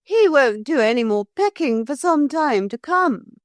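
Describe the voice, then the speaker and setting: A woman's voice: high-pitched, lively intonation, unhurried, no room sound, clean studio sound, pitched above the performer's own voice. A woman doing a smug, gloating villainess.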